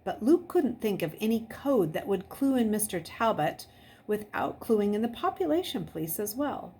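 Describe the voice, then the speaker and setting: A woman's voice: mid-range voice, very animated, normal speed, a little mellow. A woman narrates a novel in a read-aloud style. Her mid-range voice moves freely up and down as she tells the story. The recording carries a faint noise. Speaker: audiobook reader.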